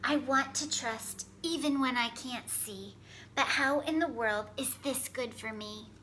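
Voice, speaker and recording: A woman's voice: high voice, a little slow, sharp tone, slight background noise, poor audio. A woman voicing a small, anxious male seed in a storybook, plaintive and childlike, reluctant and sweet. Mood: doubtful.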